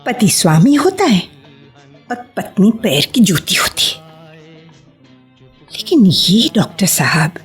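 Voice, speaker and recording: An actress playing a sensible homemaking woman who affectionately scolds her friend in a measured, expressive radio-play style. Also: mid-range voice. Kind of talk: Hindi radio play acting.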